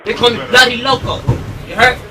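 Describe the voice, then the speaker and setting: A man's voice: extremely high pitch, fairly quick, recorded from a distance. A man playing a belligerent, boastful street tough who swears and threatens, in soundboard clips on a prank call. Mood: menacing, boastful. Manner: boastful, profane, confrontational. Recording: noisy lo-fi soundboard audio over phone.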